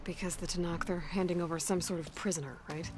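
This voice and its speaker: An actress playing a determined, blunt young heroine in a video game, direct and impatient with a sardonic edge. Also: female voice, fairly low pitch, fairly even intonation, quick pace, lightly acted. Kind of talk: video game cutscene acting. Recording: music behind the voice.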